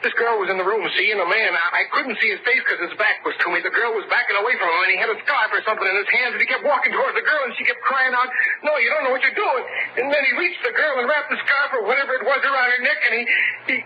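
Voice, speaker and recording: A scared young small-town fellow in a vintage radio horror drama. He speaks in an earnest, halting, stammering way, on a dull recording with clear noise. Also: extremely high pitch. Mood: terrified, agitated. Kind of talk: 1940s radio drama acting. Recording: muffled, noisy old radio recording.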